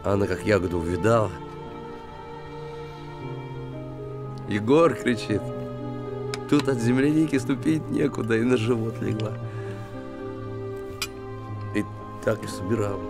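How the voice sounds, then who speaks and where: A man's voice: lowish voice, lively intonation, normal speed, long pauses, over music. Blunt, lovesick provincial handyman. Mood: fond, wistful. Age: 30s. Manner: colloquial, blunt, earthy. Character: gruff, warm-hearted provincial handyman, lovesick and bitter. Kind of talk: naturalistic television drama acting. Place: small wooden house room.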